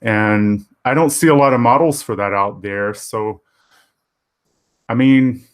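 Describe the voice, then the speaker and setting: Earnest man in his fifties musing. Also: mid-range voice. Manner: earnest, thoughtful, with pauses. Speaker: panel guest. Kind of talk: casual panel discussion.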